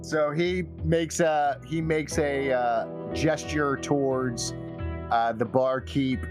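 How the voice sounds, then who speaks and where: A man narrating a tabletop game as game master, with halting, trailing phrases. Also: mid-range voice, fairly even intonation. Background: soft guitar music.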